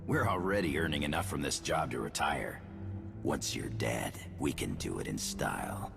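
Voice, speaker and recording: Super deep gravelly merc thug, vengeful and greedy, game villain, loud music. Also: male voice, big pitch swings, moderate pace, heavily acted. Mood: cold menace. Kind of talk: video game voice acting.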